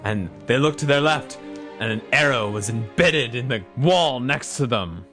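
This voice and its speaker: A man's voice: medium pitch, lively intonation, moderate pace, a bit bright, soft background music. A man narrating an adventure audio drama in a storytelling style.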